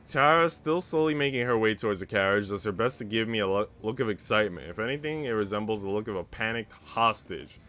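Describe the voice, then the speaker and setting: A man's voice: fairly low pitch, expressive, quick pace, hardly any pauses, bright, forceful tone. A man streaming a visual novel, reading it aloud and breaking off for informal, slangy comments. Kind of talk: visual novel read-aloud with casual asides.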